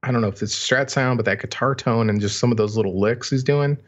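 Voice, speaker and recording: A man's voice: medium pitch, fast. A man talking casually about music as a podcast host, on a slightly dull, lo-fi recording.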